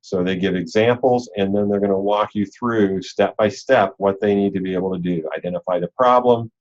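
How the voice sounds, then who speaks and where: A man with a fairly low voice talks coaches through material on a conference call. His delivery is informal and instructional, circling back to key points. The sound is dull and a bit lo-fi, like computer call audio. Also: fairly quick, a little mellow. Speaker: robotics league organizer and coach leading a call.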